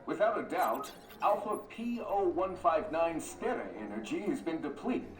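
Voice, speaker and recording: A man's voice: fairly high pitch, sing-song, normal speed. An actor playing an earnest male scientist giving an explanation on a broadcast in a sci-fi game. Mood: assured. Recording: echoey, distant, music behind.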